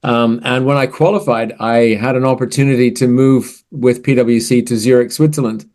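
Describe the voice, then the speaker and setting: A man in his sixties from Canada, a podcast guest telling his career story in a relaxed, conversational way. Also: medium pitch, moderate pace. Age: 60s. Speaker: podcast guest, finance strategist. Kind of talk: casual interview answers.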